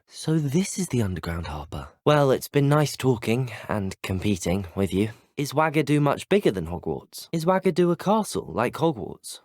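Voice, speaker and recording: Well-spoken, expressive schoolboy wizard. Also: male voice, medium pitch, dry recording, studio quality. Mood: curious. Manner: polite, thoughtful, well-spoken. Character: earnest, polite teenage boy student wizard. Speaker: video game player character. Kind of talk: scripted game voice lines.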